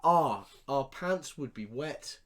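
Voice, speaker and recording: A man's voice: medium pitch, expressive, slow pace, fairly bright tone. A man co-hosting a podcast, giving blunt, emphatic opinions in casual, sweary chat. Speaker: podcast co-host.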